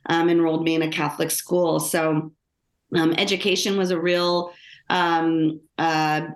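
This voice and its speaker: A woman's voice: deep voice, little pitch movement, measured pace. A middle-aged woman with an American accent, telling her own story conversationally as an interview guest. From Los Angeles, United States. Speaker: podcast guest, lawyer and author. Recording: roomy, echoing sound.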